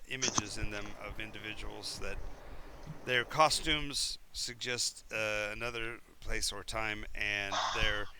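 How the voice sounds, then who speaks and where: A man's voice: medium pitch, sharp tone, a little hiss or hum. A man running a tabletop role-playing game, describing rooms at length with many fillers and restarts. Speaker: game master.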